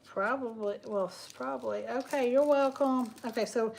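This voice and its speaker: A woman's voice: fairly high pitch, normal speed, creaky, a little mellow, slight background noise. A woman, chatting casually with viewers on a live stream while she crafts. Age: 40s. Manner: chatty, talks to viewers and reads comments aloud. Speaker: craft livestream host.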